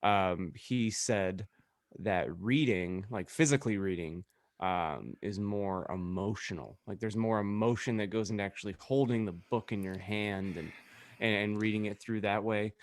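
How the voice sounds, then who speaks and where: A man's voice: fairly low pitch, normal speed, dry recording, studio quality. A man co-hosting a podcast in casual, conversational talk. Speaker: podcast co-host. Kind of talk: casual group chat.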